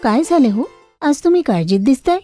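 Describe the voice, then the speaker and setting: A woman voicing a devoted, caring village wife in a storybook, gentle and respectful. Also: high-pitched, very animated, fairly quick, no room sound, faint music behind, voice pushed up. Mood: concerned. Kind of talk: storybook character voice.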